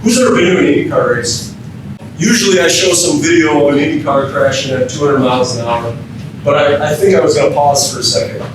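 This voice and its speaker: An American man giving an informal training lecture as a keynote speaker, often quizzing his audience with questions. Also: a little fast. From Minnesota, United States. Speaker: emergency physician, keynote speaker. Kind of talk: interactive training lecture to firefighters. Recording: very echoey room, distant mic, rumbling noise.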